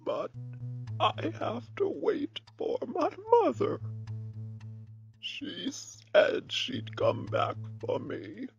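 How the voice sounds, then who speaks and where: Creaky, very slow confused old man. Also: sits high, huge pitch swings, highs cut off, soft background music, full character voice. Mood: confused, plaintive. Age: older. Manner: halting, plaintive, repetitive. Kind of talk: audio drama acting.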